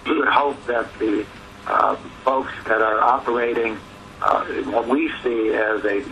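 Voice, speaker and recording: Bright-voiced man on a noisy conference line. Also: lowish voice. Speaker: advocacy group spokesman on a press call. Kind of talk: press teleconference remarks.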